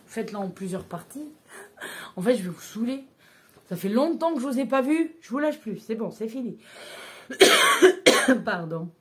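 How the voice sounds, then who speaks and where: A woman's voice: mid-range voice, fairly bright tone. A woman in her thirties chatting casually to camera as a vlogger, hesitant, with many filler sounds and restarts. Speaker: vlogger reviewing books.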